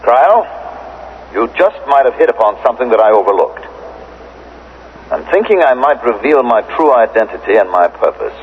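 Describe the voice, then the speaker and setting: An actor playing a smooth-talking, inquisitive government agent from the future, coolly polite and insistent in a radio drama. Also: male voice, mid-range voice, very animated, moderate pace, long pauses, lightly acted. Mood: probing. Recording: muffled, hissy old radio recording.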